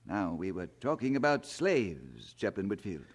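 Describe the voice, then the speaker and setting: A man's voice: low-pitched, big pitch swings, unhurried, husky, full character voice. A man acting in an audio drama as an inquisitive, self-assured colonial newspaperman with a wry, genial, probing manner. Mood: wry, teasing.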